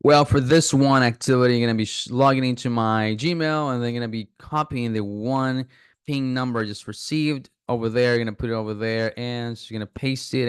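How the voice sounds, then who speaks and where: A man's voice: medium pitch, smooth, no room sound, studio quality. A man casually talking viewers through signing up for an app, thinking aloud with fillers and restarts. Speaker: app reviewer. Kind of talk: casual screen-recording walkthrough.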